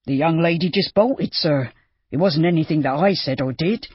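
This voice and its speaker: A woman's voice: sits low, normal speed, slightly rough, dry recording. A woman reading an audiobook, voicing an obsequious, flustered male innkeeper in a muffled recording. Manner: obsequious and deferential. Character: fawning, ingratiating male innkeeper.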